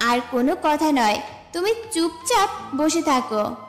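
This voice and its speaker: Brief: actress as young college woman, high voice, warm-hearted but bossy, reverberant track with music, romantic audio drama. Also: lively intonation, unhurried. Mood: mock-scolding. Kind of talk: romantic audio story dialogue. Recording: echoey reverb with music bed.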